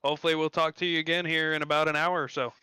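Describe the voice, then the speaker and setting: A man's voice: fairly high pitch, flat delivery, very fast, sharp tone, clean tone, dry recording. A man interviewing drivers on a sim racing broadcast, easygoing and folksy as he retells the action.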